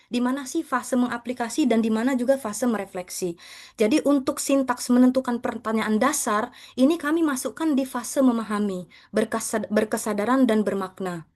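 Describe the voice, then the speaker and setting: A woman's voice: a little high, darkish tone. A woman speaking as a teacher, explaining material to fellow educators in a conversational, respectful presentation style. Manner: conversational, repeatedly addressing listeners with respectful terms.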